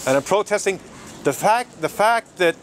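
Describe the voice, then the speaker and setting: Argumentative man with a lively voice on a street. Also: sits high, normal speed. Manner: argumentative, insistent, laughs between points. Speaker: street protester. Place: city street outdoors near traffic. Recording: outdoor street noise, traffic.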